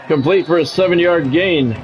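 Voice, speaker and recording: A man's voice: fairly high pitch, normal speed, slight background noise. An American man calling live football video game play-by-play in clipped announcer phrases, with casual asides. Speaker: play-by-play streamer.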